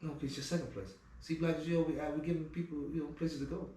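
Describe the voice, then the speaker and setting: A man from Liberia hosting a casual interview, animated and talking over his guest. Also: fairly high pitch, quick pace, heavy reverb, recorded from a distance, slight background noise, poor audio. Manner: animated, talks over the guest, repeats himself. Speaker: host.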